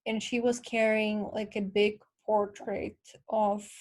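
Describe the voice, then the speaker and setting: A woman's voice: mid-range voice, flat delivery, takes it slowly. A young woman with a Ukrainian accent, a guest in a casual interview, explaining things earnestly and patiently with many fillers.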